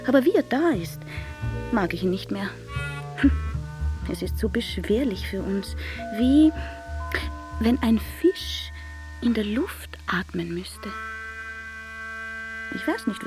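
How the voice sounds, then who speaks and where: Actress doing a capricious viennese sweetheart, slow and highish voice, pleading then teasing, music playing behind. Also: female voice. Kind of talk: dramatic literary recitation over music.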